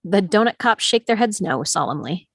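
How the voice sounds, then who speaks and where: A woman's voice: mid-range voice, lively intonation, moderate pace, a bit bright, slightly rough. A woman reading stage directions as the narrator of a live script table read.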